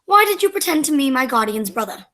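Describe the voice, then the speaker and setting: A woman's voice: sits high, sing-song, fast, fairly bright tone. A woman acting a prim, romantic young society girl with formal speech in a radio play comedy.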